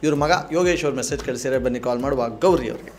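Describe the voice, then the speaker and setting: A man's voice: mid-range voice, racing, darkish tone, a little hiss or hum. A man with a southern Karnataka accent hosting a radio prank call, bantering in an argumentative, persistent, aggrieved manner. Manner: argumentative, feigning grievance, persistent.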